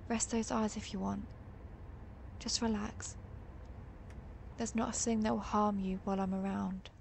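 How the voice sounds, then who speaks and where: Woman acting a gravelly, protective vampire huntress. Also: medium pitch, a little mellow, slight background noise. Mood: soothing, protective. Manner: reassuring, measured, confiding. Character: protective, guilt-ridden female vampire who hunts vampires. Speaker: audio roleplay performer. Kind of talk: intimate scripted audio roleplay addressed to the listener.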